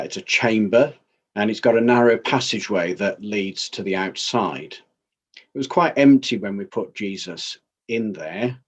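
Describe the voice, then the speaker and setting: Man acting a low-voiced, measured council elder. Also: slightly rough. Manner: measured, formal. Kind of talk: dramatized courtroom testimony.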